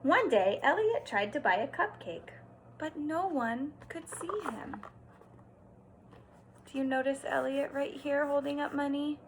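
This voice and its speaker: A woman teacher doing an expressive read-aloud for young children, stressing words and pausing to explain and ask simple questions. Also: high-pitched, noisy background. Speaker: kindergarten teacher.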